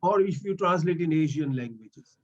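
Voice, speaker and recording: A man's voice: fairly high pitch, animated, moderate pace, lo-fi sound. A man in his fifties whose first language is Swahili, speaking earnestly in non-native English as a mental health link worker in an online meeting. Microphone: video call.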